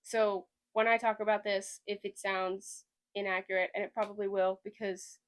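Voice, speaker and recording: A woman's voice: mid-range voice, flat delivery, moderate pace. A young woman from Sweden with a slight Southern US twang, hosting a podcast in a rambling, chatty way with lots of hedging. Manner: rambling, chatty, hedges a lot. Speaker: podcast host. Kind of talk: casual chat.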